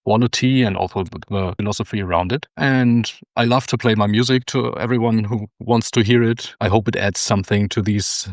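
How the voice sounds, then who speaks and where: Low, measured man on a call. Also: no room sound, studio quality. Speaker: guest, aspiring game developer and musician. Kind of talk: casual podcast chat.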